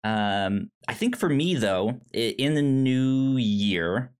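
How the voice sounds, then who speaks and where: A man co-hosting a podcast in casual chat, halting and stammering over repeated words. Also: medium pitch, expressive, smooth. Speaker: podcast co-host. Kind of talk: casual chat about shows and films.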